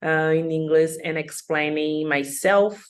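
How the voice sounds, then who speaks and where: Brazilian woman guest, Portuguese-accented English, low-ish voice, slow and careful delivery, recorded video talk. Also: steady tone. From Rio de Janeiro, Brazil. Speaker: guest speaker.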